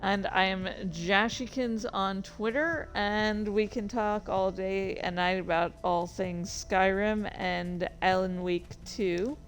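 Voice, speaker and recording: A woman livestreaming in a casual, unhurried way, with music playing behind her. She speaks at a middling pitch, rambles from thought to thought and cuts herself off, with a playful, excitable manner. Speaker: streamer and author.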